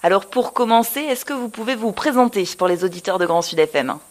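A woman radio host introducing and interviewing a guest in a clear, polished announcer's delivery. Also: medium pitch, lively intonation, fairly quick.

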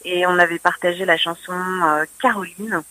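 A woman's voice: on the low side, steady tone, normal speed, pushed and bright. A woman singer-songwriter being interviewed on the radio, hesitant and full of fillers and restarts. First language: French.

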